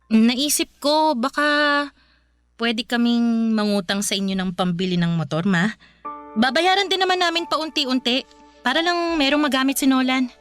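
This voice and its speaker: A woman's voice: high-pitched, expressive, normal speed, no room sound, faint music behind. A woman playing a supportive, practical young wife with a Filipino accent in a radio drama, speaking in a reassuring, coaxing way. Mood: respectful, pleading.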